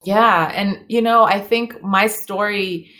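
A woman's voice: mid-range voice, slow, bright, forceful tone. A woman in her thirties, born in El Salvador and raised in Los Angeles, speaking earnestly as an interview guest with frequent fillers and restarts. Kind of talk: conversational interview.

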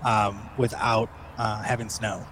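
A man's voice: on the low side, flat delivery, slow, fairly bright tone, a little hiss or hum. A man in his thirties from Michigan, chatting casually as a podcast guest in a rambling, talkative way. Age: 30s. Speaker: guest angler.

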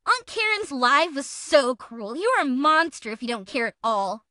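A woman's voice: high-pitched, very animated, moderate pace, sharp tone, dry recording, clean studio sound, exaggerated voice. A woman voicing an aggrieved, accusing woman in a dramatic reading of a text exchange, sounding self-pitying.

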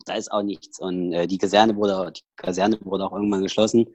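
A man's voice: sits low, steady tone, fairly quick, a little mellow, dry recording, muffled recording. A man with a Saxon accent, a podcast guest talking casually over a headset, rambling with many fillers and restarts. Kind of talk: casual personal interview talk.

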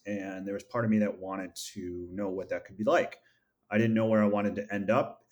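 A man from upstate New York, a podcast guest, answering in a measured, earnest way with frequent filler sounds. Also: on the low side. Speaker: podcast guest, MBA graduate and founder. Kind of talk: reflective interview answers.

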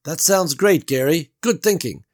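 A man's voice: on the high side, expressive, measured pace, pitched above the performer's own voice. A man doing an exasperated, patient woman.

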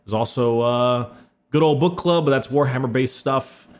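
A man's voice: medium pitch, moderate pace. A man hosting a podcast, opening the show and chatting casually with crude jokes. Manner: crude, joking. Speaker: podcast host. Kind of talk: casual chat.

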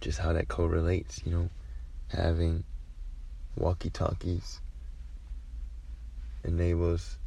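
A man with a low, flat voice gives an informal devotional talk. His delivery is reflective and halting, often pausing in the middle of a phrase, with a heavy vocal fry running through it.

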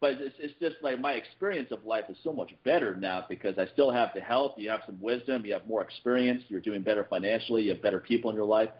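Guy chatting fast on a podcast, keeps restarting words, kinda dull lo-fi audio. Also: lowish voice. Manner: animated, quick, repeats words when starting a thought. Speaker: podcast co-host. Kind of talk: casual podcast chat.

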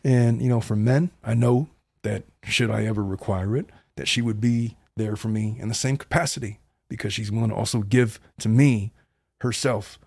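Gravelly, blunt middle-aged man. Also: lowish voice, darkish tone, no room sound, studio quality. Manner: blunt, earnest, rambling with restarts. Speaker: podcast host and family law attorney. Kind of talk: casual monologue podcast.

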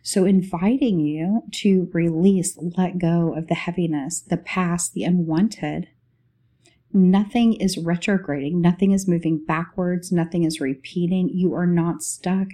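A woman leading a guided spiritual meditation as host, speaking in short, unhurried phrases with long pauses. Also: fairly low pitch, warm tone.

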